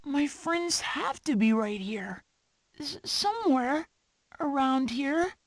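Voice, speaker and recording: A woman voicing an earnest, sweet young animal child in an animated prehistoric video game. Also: sits high, takes it slowly, a little hiss or hum. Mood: puzzled.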